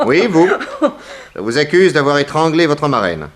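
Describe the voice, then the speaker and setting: A man playing a shrewd, authoritative detective in a 1960s French radio mystery, addressing suspects with deliberate, theatrical command. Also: a little high, very animated. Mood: accusing, commanding.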